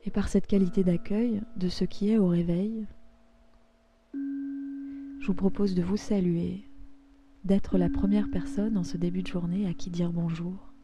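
A woman leading a guided yoga nidra meditation in a soft, soothing, unhurried way, pausing between phrases. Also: on the low side, steady tone. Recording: music behind the voice.

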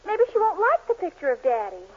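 A woman's voice: very high voice, very animated, brisk. An actress playing a young daughter and girlfriend, sweet but insistent, in a 1940s radio comedy. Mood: anxious, polite. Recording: muffled, noisy 1940s radio recording.

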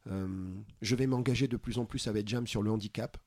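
A man from France in a casual interview, talking earnestly and often pausing to find his words. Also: lowish voice, fairly even intonation, a little mellow, husky, no echo, clean studio sound. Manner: earnest, searching for words with many pauses. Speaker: podcast founder, interview guest.

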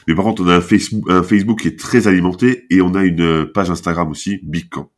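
A man's voice: sits low, fairly even intonation, fast. A talkative man with a head cold, chatting casually as a podcast guest, rambling and restarting his sentences. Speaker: podcast guest, basketball camp founder. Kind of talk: casual interview talk.

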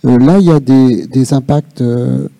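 Male discussion participant, halting and reflective, very dark timbre with gravelly creak. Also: mid-range voice, no room sound, rough recording. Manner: halting, searching for words, reflective. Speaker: audience participant in a policy workshop. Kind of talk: spontaneous spoken remarks at a public discussion.

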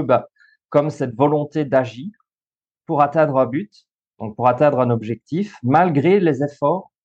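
A thirty-year-old man with a Belgian French accent talks through ideas in an easygoing, explanatory way, pausing often on fillers and restarting his sentences. His voice sits mid-range for a man. The recording sounds a little dull and lo-fi. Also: mid-range voice, mellow. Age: 30s. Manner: explanatory, many fillers and restarts, easy laughs. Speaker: guest, school coach and social worker. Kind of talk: podcast interview.

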